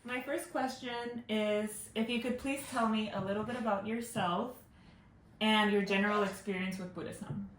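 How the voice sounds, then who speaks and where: A woman's voice: medium pitch, fairly even intonation, moderate pace, a little hiss or hum. A woman interviewing for a student research project, asking questions politely. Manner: polite, grateful. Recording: echoey room.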